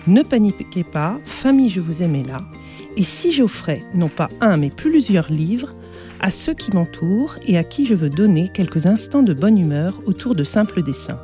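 A woman hosting a radio interview, chatty and quick to react. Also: lowish voice, warm tone. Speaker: radio host. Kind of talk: radio interview and scripted intro.

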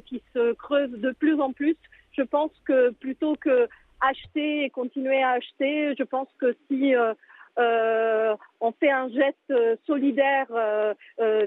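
A woman answers radio questions over a thin, tinny phone line. Her voice sits high for a woman. She hesitates constantly, filling gaps and restarting phrases. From Italy. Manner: hesitant, many fillers and restarts. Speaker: museum director, guest. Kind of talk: live radio interview answers.

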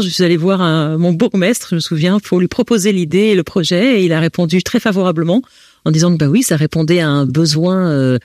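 A woman's voice: sits low, darkish tone, dry recording, studio quality. A woman with a French accent answers interview questions as founder of a housing association, explaining with frequent restarts. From France.

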